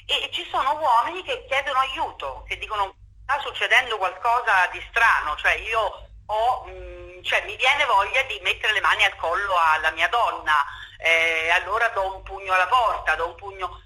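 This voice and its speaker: A woman's voice: mid-range voice, normal speed, sharp tone, low-quality recording. A talkative woman giving a spontaneous interview over the telephone, emphatic and rambling, tagging her points with little questions. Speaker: theatre director, interview guest.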